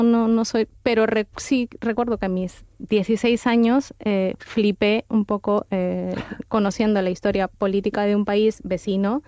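A woman, a guest answering in a rambling, animated way with many hesitations and tag questions. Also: mid-range voice, clear, resonant tone, no room sound, clean studio sound. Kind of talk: conversational interview answers.

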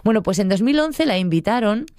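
A woman's voice: medium pitch, lively intonation, a little fast, darkish tone, dry recording. A middle-aged woman with an accent from Spain, talking informally and digressively about books as a show contributor. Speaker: book segment contributor on a show. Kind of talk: conversational book review.

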